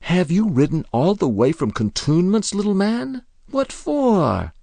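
A man reading aloud and voicing a young woman in pain, speaking softly and ruefully. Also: high-pitched, very animated, unhurried, vocal fry, dry recording, voice pushed up, heavily acted. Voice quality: soft, pained.

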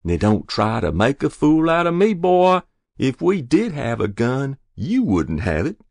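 A man's voice: medium pitch, sing-song, normal speed, dry recording, clean studio sound, voice pushed up. A man voicing a stern, exasperated rural mother with a Southern US accent, blunt and dismissive in a story reading. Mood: scolding, exasperated. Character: stern, scolding rural mother, adult woman, exasperated but loving.

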